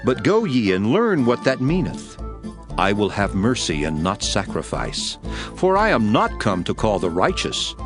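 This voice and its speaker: A lowish, expressive voice a calm holy teacher with solemn authority. He speaks in measured, commanding phrases over clear music in a scripture drama. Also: full character voice. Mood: instructive. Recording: music behind the voice.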